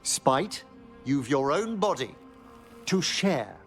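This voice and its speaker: An actor playing a courteous, scholarly gentleman necromancer in a fantasy game, with formal, genteel phrasing. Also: medium pitch, very animated, slow, faint music behind. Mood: polite, wry.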